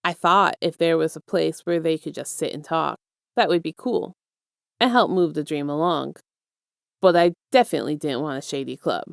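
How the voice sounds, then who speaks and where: Woman as a smug, low-voiced villain mastermind. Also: moderate pace, creaky, no echo, studio quality. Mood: businesslike. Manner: teasing, coaxing, soft-spoken, self-assured. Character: smug, affectionate male villain mastermind, rich and casual.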